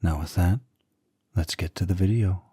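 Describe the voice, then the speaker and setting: Man, channel host, very deep husky voice, scripted intro. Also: moderate pace, dark tone, dry recording.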